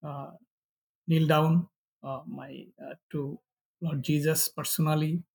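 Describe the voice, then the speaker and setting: Slow, husky Bangladeshi man, halting speech. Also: fairly high pitch, little pitch movement, a little mellow. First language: Bengali. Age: middle-aged. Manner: halting, searching for words in non-fluent English. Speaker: pastor, interview guest. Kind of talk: personal testimony in an interview.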